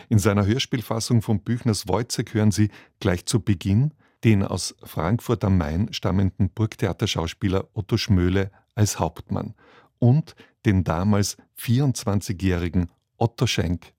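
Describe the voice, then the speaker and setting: A man's voice: fairly low pitch, mellow, dry recording, studio quality. A man reading a formal, scripted introduction as a radio presenter. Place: dry broadcast studio.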